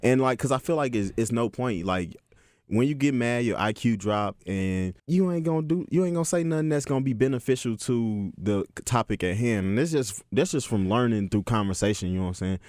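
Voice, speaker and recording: A man co-hosting a podcast in casual chat, deep-voiced and laid-back, with a joking, teasing manner. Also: lively intonation, fairly quick, darkish tone, no echo, studio quality. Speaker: podcast co-host.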